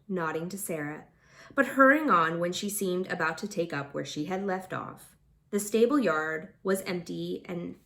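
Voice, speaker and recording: A woman reading a fantasy novel aloud as a volunteer narrator, expressive and informal, with the odd stumble. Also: fairly low pitch, vocal fry. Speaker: audiobook-style volunteer reader.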